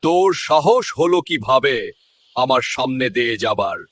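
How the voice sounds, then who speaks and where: A man's voice: mid-range voice, lively intonation, measured pace, a bit bright, dry recording. A man voicing a huge, arrogant elephant who bullies others as forest king in a children's cartoon, boastful and scornful.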